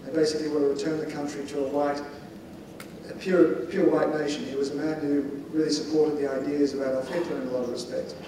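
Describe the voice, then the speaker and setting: A man giving a considered, discursive panel answer in a measured, explanatory and opinionated way. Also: a little high, flat delivery. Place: large indoor conference hall. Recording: echoey hall, distant mic, faint noise.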